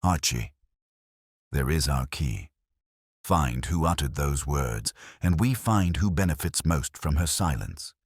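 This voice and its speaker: Male narrator doing a grumbling genius detective, super deep, terse and pompous, audiobook. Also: expressive, slow, breathy edge, dry recording, clean studio sound, heavily acted. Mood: deliberate. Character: imperious, brilliant, grumbling male armchair detective. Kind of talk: audiobook character dialogue.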